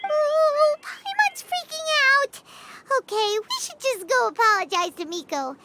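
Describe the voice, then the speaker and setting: A woman voicing a small, childlike fairy companion in a game, squeaky, excitable, bossy and cheeky. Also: unhurried, noisy background, heavily acted. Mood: flustered. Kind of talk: animated game voice acting.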